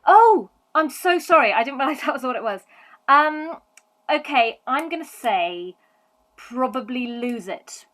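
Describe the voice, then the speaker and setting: A harried female amateur theatre director with a high voice. She is tactful and a bit hesitant but stays politely firm, in natural comedy dialogue. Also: animated, a little slow, fairly bright tone.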